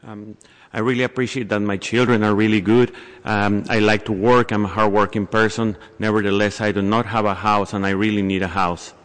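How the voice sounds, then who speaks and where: A man interpreting and speaking formally and deliberately at a public hearing. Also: fairly low pitch, flat delivery, fairly bright tone. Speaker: interpreter and community advocate. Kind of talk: consecutive interpreting and public comment. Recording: echoey hall, long reverb.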